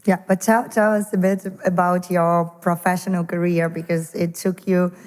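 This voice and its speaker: A woman's voice: on the low side, flat delivery, moderate pace, darkish tone. Woman podcast host in a reverberant hall. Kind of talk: hosting an interview.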